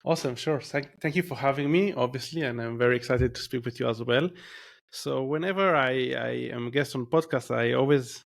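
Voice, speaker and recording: A man's voice: medium pitch, a little fast, vocal fry. A man in his thirties with an Israeli accent, a podcast guest telling his story in a rambling way, with many restarts and fillers. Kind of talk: casual interview storytelling.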